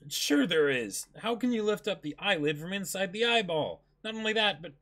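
A man's voice: high voice, expressive, moderate pace, a bit bright, pitched above the performer's own voice. A man voicing a silly, genial manga author answering fan questions in a playful, exaggerated way. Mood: puzzled, playful.

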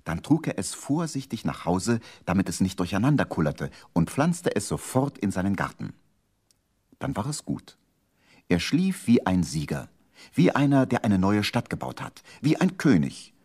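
A man narrates a children's story in a lowish, husky voice. His intonation moves up and down in a lively way, as a radio play storyteller. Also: moderate pace.

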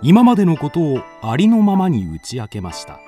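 A man's voice: fairly high pitch, wildly swooping pitch, a little fast, mellow, faint music behind. A man narrating a scripted ghost tale as the storyteller of a radio drama.

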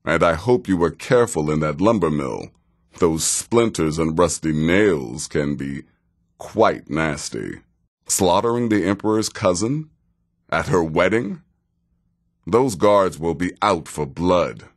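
A sardonic veteran assassin from a fantasy game, with a very low voice. He takes his time over each phrase, and his dry sarcasm rides on wide, expressive swings of pitch. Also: heavily acted. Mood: wry, sardonic. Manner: dry, sarcastic, measured, drawn-out delivery. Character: wry, sardonic veteran male assassin handing out contracts. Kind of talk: fantasy video game character dialogue.

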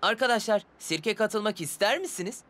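An actor playing an enthusiastic young circus magician in a cartoon dub, upbeat and persuasive like a pushy coach. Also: male voice, high-pitched, lively intonation, normal speed, a bit bright, a little hiss or hum. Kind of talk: dubbed children's cartoon acting.

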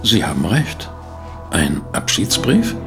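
An actor playing a polite, pensive private detective who narrates and investigates in a German audio drama. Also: male voice, low voice, very animated, takes it slowly, music in the background, full character voice. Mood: pensive, curious. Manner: courteous, pensive, hesitant. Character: polite, inquisitive male private detective, narrating his case.

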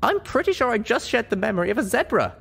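A man's voice: very high voice, big pitch swings, fast, vocal fry, a bit bright, breathy edge, voice pushed up, full character voice. A man voicing a small, stubborn young female pony in an earnest, emphatic storybook reading. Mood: indignant, insistent.

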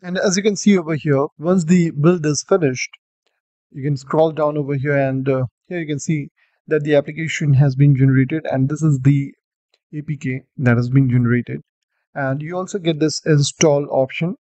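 A man's voice: a little high, moderate pace, no echo, highs cut off. A man giving a screen-recorded coding tutorial as the instructor, explaining each step steadily with the odd "uh". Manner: steady explanatory walkthrough with fillers.

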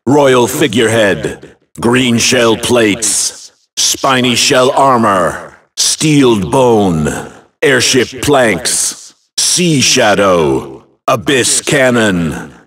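An actor playing a dramatic video game arena announcer, calling out short names with punchy emphasis. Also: male voice, mid-range voice, very animated, extremely slow, fairly bright tone, recorded from a distance, full character voice. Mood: dramatic announcing.

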